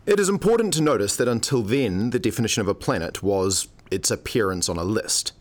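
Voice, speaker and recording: A man's voice: on the low side, animated, a little fast. A man playing a sardonic, mock-pompous academic colleague in a scripted radio play, given to lecturing. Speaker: supporting actor in a radio play.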